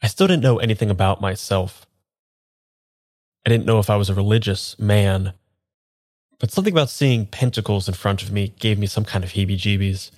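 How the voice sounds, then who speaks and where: Hesitant low-voiced amnesiac man played by an actor. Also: darkish tone, no room sound, clean studio sound, natural voice. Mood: brooding narration. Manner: halting, hesitant, understated. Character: nervous, hesitant amnesiac man having his tarot read. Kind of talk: improvised radio drama acting.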